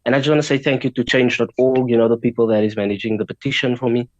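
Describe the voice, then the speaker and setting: A man's voice: medium pitch, steady tone, very fast, no echo, lo-fi sound. A man with a South African accent whose first language is Afrikaans, speaking as a guest in a radio interview, earnest and grateful. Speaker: petition organiser, guest.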